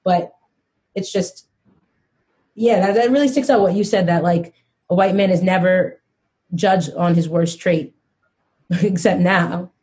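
A woman hosting a podcast, speaking earnestly and slowing down to stress her points. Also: on the low side, a little mellow. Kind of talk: casual podcast discussion.

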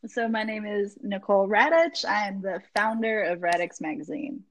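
A woman's voice: medium pitch, moderate pace, fairly bright tone, dry recording. A young woman from Florida, the founder of a magazine, talking casually as a podcast guest, rambling with many ums and likes. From Jacksonville, Florida. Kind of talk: casual interview chat.

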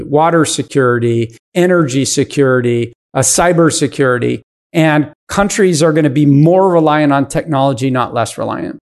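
A man in his fifties, a podcast guest explaining his business in casual interview talk. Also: a little high. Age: 50s.

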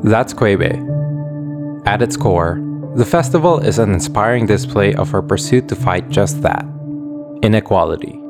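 A man narrating a documentary feature in a clear, storytelling manner. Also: fairly low pitch, lively intonation, unhurried, loud music behind the voice.

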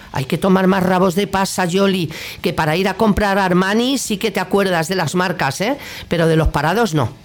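Indignant Castilian Spanish woman radio host. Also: medium pitch. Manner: indignant, sarcastic, colloquial. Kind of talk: political opinion monologue.

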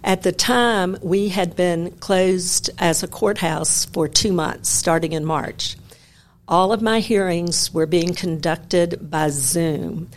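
Low-voiced woman in her sixties from Memphis. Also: steady tone. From Memphis, Tennessee. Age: 60s. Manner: measured, wry storytelling. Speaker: guest, retired federal judge. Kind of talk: conversational interview.

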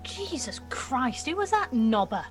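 A woman's voice: high-pitched, very animated, unhurried, music in the background. A woman playing a cheeky, mouthy nineteen-year-old apprentice in a naturalistic audio drama, flippant and slangy. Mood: cheeky, sarcastic. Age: young adult.

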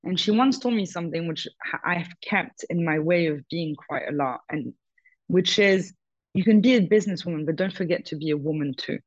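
A woman's voice: on the low side, fairly quick, no echo, dull audio. A businesswoman talking frankly as a guest in an interview, often stressing her points with "totally" and "hundred percent". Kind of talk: casual interview conversation.

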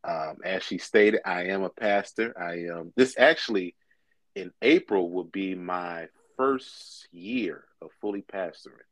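Young male pastor in his twenties, deep low voice, relaxed chatty delivery, dry close recording. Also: a little slow, dry recording. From Michigan. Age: 20s. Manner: relaxed, conversational, with frequent fillers. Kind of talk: casual interview conversation.

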